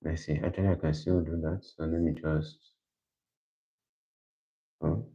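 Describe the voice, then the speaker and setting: A man with a deep voice hosting a livestream, thinking aloud in a casual, rambling way and correcting himself as he goes. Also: flat delivery, a little slow. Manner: rambling, self-correcting, plans out loud. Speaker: livestream host. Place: quiet indoor room. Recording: dull lo-fi livestream audio.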